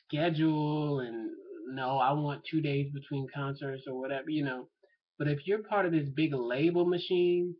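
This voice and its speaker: Man, casual vlog chat, husky voice, rambling with fillers, slightly muffled recording. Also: medium pitch. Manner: rambling, thinking aloud with many fillers. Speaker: vlogger.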